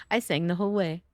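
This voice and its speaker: A woman livestreaming a game, chatting playfully with her viewers, her voice a bit hoarse from days of streaming. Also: fairly low pitch, a little mellow, no echo. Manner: playful, chatty, greeting chat by name. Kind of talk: casual game-stream chat.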